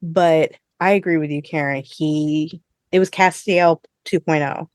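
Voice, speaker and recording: A woman's voice: deep voice, steady tone, unhurried, no room sound, clean studio sound. A woman co-hosting a podcast in casual, conversational chat, often dropping in "like" as she talks. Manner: conversational, frequent "like". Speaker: podcast co-host.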